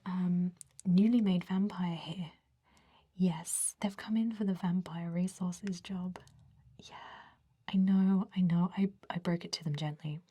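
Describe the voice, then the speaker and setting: Woman acting as vampire office recruiter, soft breathy whisper, mellow tone, chatty, conspiratorial, casually menacing, ASMR roleplay. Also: medium pitch. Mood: chatty, coaxing. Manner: chatty, conspiratorial, hedging with likes and ums.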